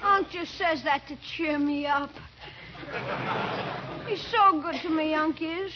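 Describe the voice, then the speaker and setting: Very high-pitched whiny schoolboy. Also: female voice, slow pace, a crowd in the background. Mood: meek, feigned weakness. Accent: American. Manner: whiny, wheedling. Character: wily schoolboy nephew, whiny and wheedling. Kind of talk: 1940s-50s radio comedy acting. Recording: muffled, noisy old radio recording.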